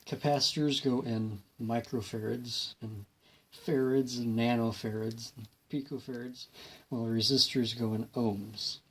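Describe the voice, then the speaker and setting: A man's voice: medium pitch, steady tone, a little slow, fairly bright tone, poor audio. A man hosting a hands-on repair tutorial in an informal, folksy way, explaining step by step and thinking aloud. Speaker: host of a hobby electronics repair series. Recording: clear background noise, homemade video.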